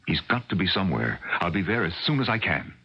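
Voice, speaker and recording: A man's voice: low voice, very animated, quick pace, heavy background noise. An actor playing a genial, skeptical middle-aged scientist and father in a vintage radio drama. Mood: anxious. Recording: dull vintage AM radio recording.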